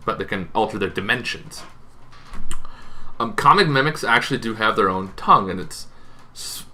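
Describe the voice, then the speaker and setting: A man with a fairly low, bright and forceful voice gives an informal explainer as host of a tabletop gaming channel. He speaks off the cuff, often correcting himself and tacking on asides. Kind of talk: casual explainer on game monsters, semi-improvised.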